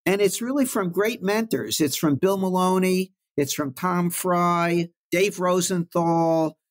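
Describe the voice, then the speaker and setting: An older man from Brooklyn, telling stories about his career in a warm, reflective way as an interview guest. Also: high-pitched, slow. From Brooklyn, New York. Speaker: guest oncologist. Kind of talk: storytelling in an interview.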